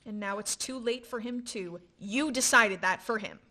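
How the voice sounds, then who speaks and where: A woman's voice: fairly high pitch, moderate pace, sharp tone. A woman acting a scornful, morally indignant friend, sarcastic and scolding, in a radio play. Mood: reproachful.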